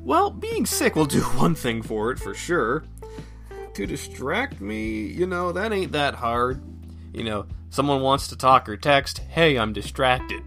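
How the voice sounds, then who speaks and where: A man's voice: medium pitch, very animated, a little slow, gravelly, a bit bright, soft background music. A man talking casually on his own as a podcast host, thinking aloud in a folksy, self-effacing way. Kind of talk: casual solo rambling chat.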